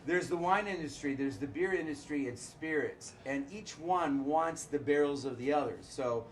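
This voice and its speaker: Hoarse winemaker full of uhs. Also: male voice, on the high side, slight background noise, rough recording. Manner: halting, many filler uhs. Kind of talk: casual chat.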